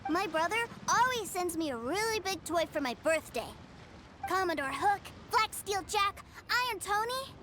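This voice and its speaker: Casting: eager, guileless young boy for a game, boyish and high, animated delivery. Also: normal speed, noisy background. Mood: innocent, eager. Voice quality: childlike, boyish. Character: innocent, eager little boy who adores toys. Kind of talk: video game voice acting.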